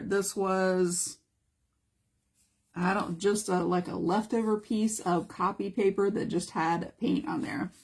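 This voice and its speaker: A hoarse woman, a mixed media art teacher, chatting casually through a live craft demonstration, greeting viewers by name. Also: mid-range voice. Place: home art studio.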